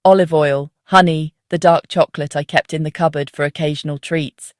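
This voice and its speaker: A woman reading a scripted first-person story as the narrator of a dark psychological tale. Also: low voice, steady tone, normal speed, dry recording, clean studio sound.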